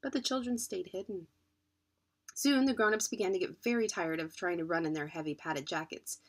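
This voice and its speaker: An adult woman narrating a children's storybook in an expressive reading style. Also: lowish voice, fairly quick. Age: adult, parent. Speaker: children's book reader.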